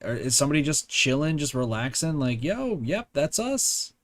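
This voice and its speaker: A man's voice: a little high, sing-song, normal speed, fairly bright tone. A young man giving casual game stream commentary, slangy and repetitive, with casual swearing. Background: game music.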